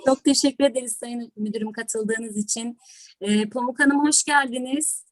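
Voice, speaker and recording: A woman's voice: fairly high pitch, moderate pace, no room sound. A woman hosting an online event, speaking in a polite, formal, thankful manner. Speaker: host and teacher. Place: online video call.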